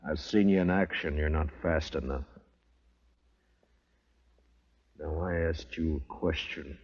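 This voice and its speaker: A man's voice: a bass voice, normal speed, darkish tone. A man playing a stern, unflappable American marshal in a 1950s radio western, speaking tersely and with authority. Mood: cool, firm. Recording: muffled old 1950s radio recording.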